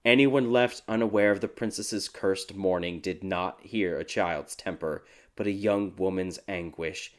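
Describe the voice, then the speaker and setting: A man narrating a dark fairy tale audiobook in a dramatic, scripted storytelling style. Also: low-pitched, unhurried. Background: background music.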